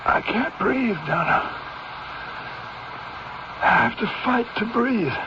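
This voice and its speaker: A frightened dying man in a 1970s radio mystery. He speaks slowly in a high, weak, breathless voice. The old recording is very noisy. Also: animated, muffled recording, heavily acted. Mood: desperate, failing. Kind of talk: 1970s radio drama acting.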